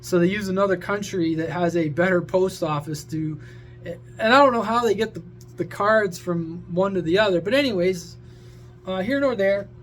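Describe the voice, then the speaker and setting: A man's voice: high voice, normal speed, faint music behind. A man talking to viewers in a casual, opinionated rant, blunt, sweary and rambling, like a hobby radio vlogger.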